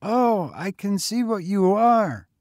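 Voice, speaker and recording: A man voicing a frail, talkative, weary homeless boy of eighteen with a husky, colloquial, insistent way of talking in a story. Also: high voice, unhurried, no echo, clean studio sound, voice pushed up. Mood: boastful, knowing.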